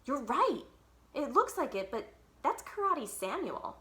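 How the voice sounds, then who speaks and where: A woman voicing an excitable, pert young schoolgirl in a children's storybook, speaking with emphasis. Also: sits high, unhurried, pitched above the performer's own voice, full character voice. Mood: surprised, excited. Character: young schoolgirl friend, excitable, quick to retort.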